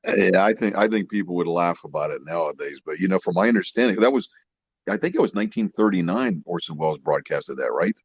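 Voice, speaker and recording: A man's voice: low voice, expressive, quick pace, breathy edge, no echo. A man co-hosting a podcast in casual, agreeable, folksy chat over dull, muffled lo-fi audio. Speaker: podcast co-host.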